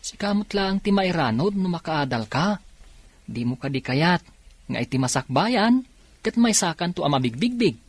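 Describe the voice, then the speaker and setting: A man's voice: on the high side, big pitch swings, normal speed, breathy edge, full character voice. Earnest young factory lad played by a man. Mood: earnest, encouraging. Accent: Ilocano. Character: earnest, grateful, hopeful young factory worker. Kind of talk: radio drama acting.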